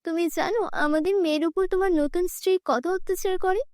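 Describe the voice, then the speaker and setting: A woman's voice: very high-pitched, steady tone, brisk, a little mellow, no echo, studio quality, pitched above the performer's own voice. A woman voicing a dead mother's urgent, protective ghost in a cartoon story. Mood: urgent, reproachful.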